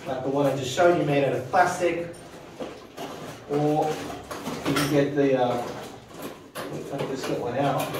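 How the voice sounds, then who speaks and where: A man's voice: mid-range voice, noisy background. A man in his thirties hosting a casual home gym walkthrough, chatty and rambling, often tagging his sentences with "right?". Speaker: fitness video host. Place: small home gym with power rack, indoors. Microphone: camera mic from a distance. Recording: very echoey room, camera far off.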